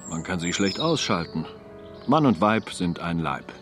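Really deep slow priest in a radio play, unctuous and hesitant, big long reverb. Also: male voice, sing-song, fairly bright tone, soft background music, heavily acted. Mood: solemn. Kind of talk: radio crime drama acting.

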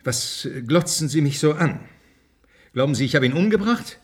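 An actor in a German radio play, playing a brash, hot-tempered official who talks with sarcasm and contempt in a husky voice. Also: male voice, fairly high pitch, lively intonation, moderate pace. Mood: outraged. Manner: sarcastic, blustering, coarse.

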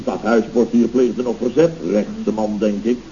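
An actor playing a pompous, touchy middle-aged boss of a building firm, blustering theatrically in an old radio comedy. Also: male voice, lowish voice, fairly even intonation, a little slow, dark tone. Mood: excited, boastful. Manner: blustering, theatrical, muddles words and sayings. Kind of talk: 1970s Dutch radio comedy acting. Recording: muffled, hissy old radio recording.

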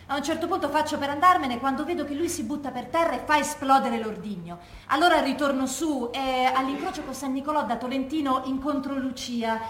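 A woman's voice: sits high, a bit bright. An actress playing a frank, wry former partisan woman recounting wartime exploits in a stage monologue. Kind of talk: stage monologue from oral testimony. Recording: roomy, echoey stage recording with noise.

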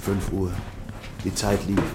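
A man's voice: low-pitched, completely flat delivery, takes it slowly, heavy background noise. A man giving a dramatic scripted literary narration as the story's narrator.

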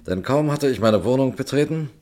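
A man playing a composed colonel who narrates and acts in a radio mystery, telling it in a measured way. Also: medium pitch, lively intonation, a little mellow, slight background noise, lightly acted. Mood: composed storytelling. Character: composed male colonel narrating his own mystery case.

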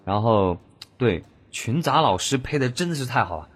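A man's voice: mid-range voice, sing-song, normal speed, breathy edge. A man, a voice actor on a cast panel, chatting casually about an audio drama and repeating his words for emphasis.

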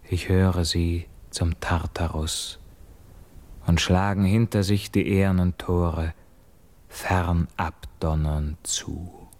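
A fervent, slow-speaking tragic young nobleman. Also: deep voice, darkish tone. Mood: relieved awe. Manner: fervent, declamatory. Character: haunted young nobleman freed from a curse. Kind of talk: classical German radio verse drama acting.

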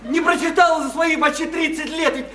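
An actor playing a bitter, wounded would-be writer in a radio play, ranting scornfully with stammered repetitions. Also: male voice, very high-pitched, fairly even intonation, a little fast, sharp tone. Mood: furious ranting. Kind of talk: theatrical radio play acting. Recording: roomy, faintly noisy 1991 radio play recording.

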